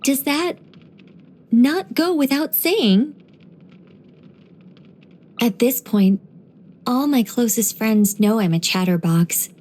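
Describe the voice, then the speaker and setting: A woman voicing an ancient angel in a video game, dignified yet whimsical and chatty, with a measured, gently playful delivery. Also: on the high side, lively intonation. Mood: playfully fretting. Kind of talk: video game character voice lines.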